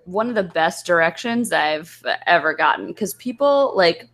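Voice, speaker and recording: A woman's voice: fairly low pitch, lively intonation, unhurried, fairly bright tone. A woman chatting casually as a voice actor guest, wry and slangy in a conversational way. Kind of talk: casual chat.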